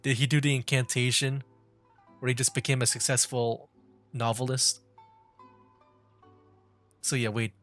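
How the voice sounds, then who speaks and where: Young guy streaming a game, normal pitch, kinda rambly and hesitant, bit of music behind him. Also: flat delivery, unhurried, pauses often, fairly bright tone. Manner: hesitant, rambling, repeats words. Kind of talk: casual live gaming commentary.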